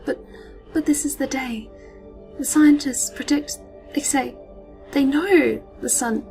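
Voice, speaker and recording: A woman voicing a frail, helpless young girl who pleads in breathy whispers. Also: sits high, takes it slowly, dark tone, faint music behind, voice pushed up, low-quality recording. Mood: pleading.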